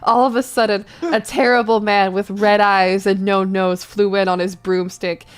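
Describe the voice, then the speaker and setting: A woman's voice: medium pitch, level tone, moderate pace, smooth. A woman narrating a comic dramatic reading of fanfiction for a livestream in a giggly, theatrical manner.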